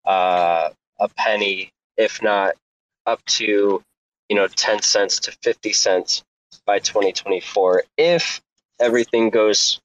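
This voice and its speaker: A man's voice: fairly low pitch, takes it slowly, fairly bright tone. A man in a casual online crypto discussion, explaining steadily with frequent ums and uhs. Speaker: crypto space co-host. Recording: dull, lo-fi online audio room.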